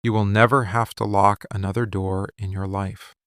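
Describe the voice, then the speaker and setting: A man acting a possessive, menacing mafia boss in love, speaking slowly, deliberately and intimately in an ASMR roleplay. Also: fairly low pitch, flat delivery, clear, resonant tone, no echo, studio quality. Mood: protective, commanding. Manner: slow, deliberate, intense, hushed confiding delivery. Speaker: ASMR voice actor. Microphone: close studio microphone, dry.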